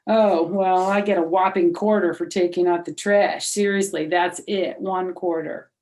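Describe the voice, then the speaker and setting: A sarcastic young schoolboy from a storybook, played by a woman. He grumbles loudly, leaning hard on his words. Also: on the low side, steady tone, unhurried, close to an everyday voice. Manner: sarcastic, emphatic.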